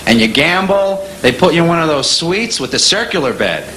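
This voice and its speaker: Male stand-up comedian, New York accent, slightly high voice, wry, conversational, echoey live room. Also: very animated, brisk. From New York, USA. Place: live stage before an audience. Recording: echoey live club, loud audience laughter and noise. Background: audience laughter, crowd noise.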